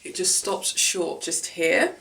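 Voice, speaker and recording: A woman's voice: lowish voice, very animated, takes it slowly, gravelly, a bit bright, poor audio. A young woman with a nasal voice chatting casually through an unboxing and try-on video, enthusiastic and narrating what she handles, recorded in a small room. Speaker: fashion vlogger.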